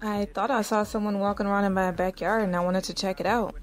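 A woman's voice: medium pitch, steady tone, quick pace, natural voice. A woman playing a conflicted, defensive young married woman who also narrates her story in an audio drama. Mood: apologetic. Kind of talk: audio drama acting with first-person narration.

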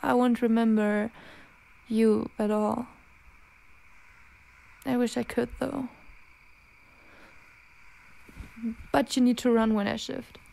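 A woman playing an anxious, gentle young werewolf in an intimate roleplay, speaking in a halting, pleading manner. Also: on the high side, flat delivery, vocal fry, rough recording. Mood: urgent pleading. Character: anxious, self-loathing young female werewolf, protective and gentle. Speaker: amateur roleplay voice actor. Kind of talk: intimate ASMR girlfriend roleplay.

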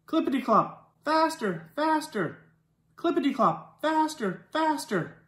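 Storytime reader for young children: unhurried, expressive with dialogue, recorded in an echoey living room. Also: high-pitched, background noise. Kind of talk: children's picture book read-aloud. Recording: roomy indoor echo.

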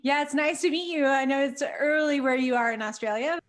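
Woman host on a headset, bit high voice, laughs a lot, encouraging. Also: fairly quick. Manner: laughs often, encouraging interjections. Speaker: podcast host. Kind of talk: casual interview chat on a video call. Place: small room at home.

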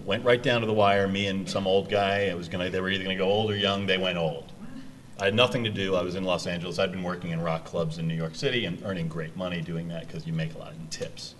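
Man, deep voice, panel moderator, table mic in a meeting room, faint room noise. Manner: energetic, direct, talks to students. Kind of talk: casual panel talk. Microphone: table microphone.

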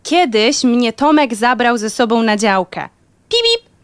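A woman's voice: fairly high pitch, lively intonation, unhurried. A woman voicing a knowing, boastful little toy car in a children's audio play, eagerly explaining and beeping. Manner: eager, explaining, punctuated with beeps. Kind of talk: children's audio drama acting.

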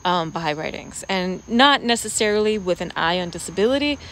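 An American woman in her forties talking casually and reflectively to camera, halting and thoughtful, with pauses. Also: fairly low pitch, expressive, a bit bright, low-quality recording. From United States expatriate raised around the Pacific Basin. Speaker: vlogger. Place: outdoors in a leafy garden, seated. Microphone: phone at arm's length. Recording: clear outdoor background noise, cricket-like ambience.

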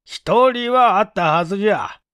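An authoritative police chief acted by a male reader interrogates in a mystery story. The speech is commanding and old-fashioned, high and very animated, recorded dry. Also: moderate pace, smooth, no room sound. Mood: probing, stern. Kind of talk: dramatic audiobook character acting.

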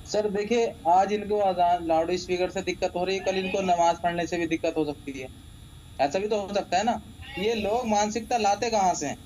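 Combative man with very high-pitched voice. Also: fairly even intonation, normal speed, darkish tone, slight background noise, low-quality recording. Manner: combative, repetitive, interrupting. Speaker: debate guest, Islamic scholar. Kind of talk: heated TV debate. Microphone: remote video call.

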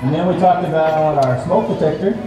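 A woman's voice: sits low, fairly quick, very noisy, natural voice. A woman voicing an upbeat, teacherly puppet in a live children's fire safety show. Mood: cheerful, recapping. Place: large indoor mall, over loudspeakers. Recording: echoey hall from far off, music, crowd.

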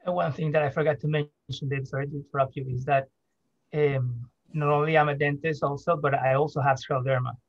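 A man's voice: a little high, steady tone, brisk, no echo. A man presenting over a video call, friendly and hesitant, with many fillers. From Venezuela. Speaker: dentist and professor. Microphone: video call webcam. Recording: dry, dull lo-fi call audio.

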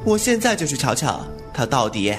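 A man's voice: medium pitch, very animated, normal speed, loud music behind the voice, lightly acted. A man playing a glib, cheeky young swordsman in a Chinese martial-arts radio drama, with a teasing, flippant manner. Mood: curious.

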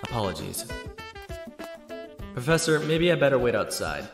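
A man's voice: medium pitch, big pitch swings, normal speed, over music. A man playing a courteous, earnest young man in an audio drama. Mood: polite, challenged.

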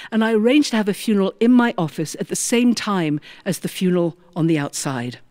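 Female storyteller, animated and expressive, emphatic delivery with wide pitch movement, close dry sound. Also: no room sound. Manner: expressive, dramatic pauses and emphatic repetition. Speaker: storyteller, former prison chaplain. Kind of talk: live-style personal storytelling with acted dialogue.

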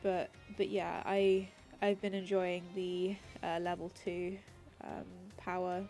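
A woman hosting her gaming channel, chatting casually over gameplay with many ums and restarts, a little congested and raspy from a cold. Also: on the low side, darkish tone.

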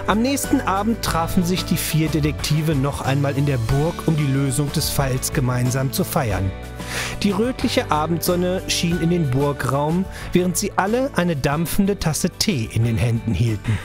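Narrator for a kids audio drama, scripted storytelling, music clearly behind. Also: medium pitch, animated, moderate pace.

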